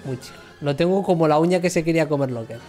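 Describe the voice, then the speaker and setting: A man's voice: on the high side, quick pace, a little mellow, soft background music. A man in his thirties chatting casually with his viewers while he plays, joking and mocking himself from his gaming chair at home. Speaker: livestream gamer. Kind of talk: casual banter with chat.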